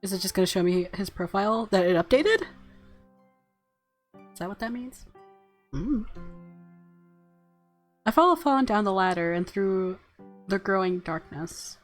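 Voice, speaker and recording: A woman with a medium-pitched voice plays a visual novel as a streamer. She reads the story aloud and reacts casually, with faint game music behind her. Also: animated, a little slow, pauses often.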